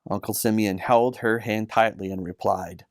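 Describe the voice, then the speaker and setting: A man reading a scripted children's Bible story as narrator, with earnest, deliberate phrasing. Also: fairly low pitch, fairly even intonation, dry recording, clean studio sound. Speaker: author and narrator.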